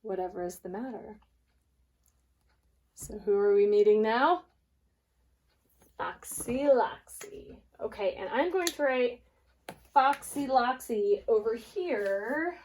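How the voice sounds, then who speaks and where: A woman preschool teacher reading aloud and leading a lesson, deliberate and expressive for small children. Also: a little high, rough recording.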